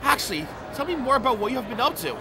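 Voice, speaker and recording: A man's voice: very high-pitched, big pitch swings, racing, over music, a crowd in the background, exaggerated voice. A man playing a cheeky, good-natured young professor in an audio drama, playful and bantering. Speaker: voice actor. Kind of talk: audio drama acting with first-person narration.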